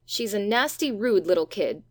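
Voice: mid-range voice, animated, moderate pace, pitched above the performer's own voice, natural voice. A performer voicing a teasing, blunt younger British schoolboy in a storybook. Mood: scornful.

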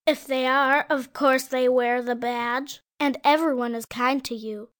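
A man voicing an earnest, tearful little schoolboy in a read-aloud storybook, in a high, pleading, childlike voice. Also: steady tone, measured pace, a bit bright, smooth.

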